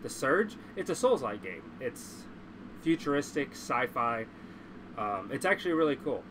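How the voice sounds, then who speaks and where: Male livestream host, average pitch, congested, casual and sweary with strong opinions; noticeably noisy recording. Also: big pitch swings, measured pace. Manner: blunt, profane, opinionated.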